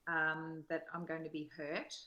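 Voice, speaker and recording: A woman in a reflective spiritual conversation, putting her thoughts together in halting, measured phrases. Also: low-pitched, completely flat delivery, sharp tone, lo-fi sound. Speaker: study partner in a spiritual discussion.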